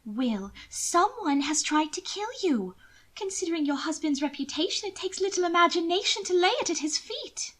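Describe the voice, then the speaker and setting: A woman's voice: very high voice, expressive, normal speed, a bit bright, pitched above the performer's own voice. A woman voicing an anxious, sly young genteel lady in an audiobook, speaking in an urgent, coaxing, dramatic way. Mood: urgent concern. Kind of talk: audiobook character dialogue.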